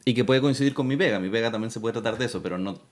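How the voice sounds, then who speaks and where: A man's voice: medium pitch, racing. A man with a Chilean accent, hosting a casual, conversational interview.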